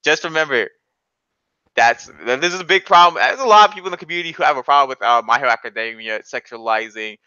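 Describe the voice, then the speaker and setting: Bright, insistent man in casual debate. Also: medium pitch, animated, fast, smooth, no room sound, lo-fi sound. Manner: argumentative, insistent, repeats points.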